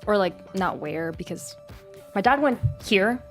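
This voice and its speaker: A woman's voice: mid-range voice, expressive, unhurried, soft background music. Giggly young American woman, hesitant. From Queens, New York City, USA. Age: young adult. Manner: hesitant, giggly, filler-heavy. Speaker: exchange student guest. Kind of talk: casual chat.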